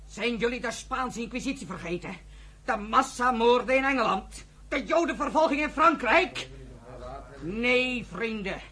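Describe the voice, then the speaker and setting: A fervent, embittered pioneer in a radio drama, exhorting listeners. The voice is high, forceful and husky, declaiming in rhetorical questions. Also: female voice, exaggerated voice. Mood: urgent, exhorting. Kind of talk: impassioned radio play oratory.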